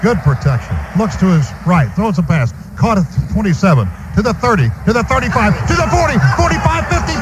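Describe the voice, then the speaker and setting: Male American radio football announcer, slightly high voice, clear stadium noise behind. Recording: noisy live stadium radio broadcast. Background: loud stadium ambience.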